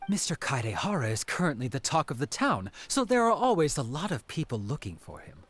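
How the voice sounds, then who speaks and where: A man's voice: fairly high pitch, huge pitch swings, brisk, fairly bright tone, heavily acted. A man voicing a courteous, theatrical young detective in a video game, speaking politely and articulately with flourish. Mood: thoughtful. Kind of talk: English video game dialogue.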